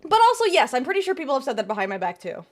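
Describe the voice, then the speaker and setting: A woman's voice: high voice, sing-song, rapid-fire. A woman VTuber streamer chatting casually with her viewers and giving tarot readings. She laughs often and trails off and restarts as she talks.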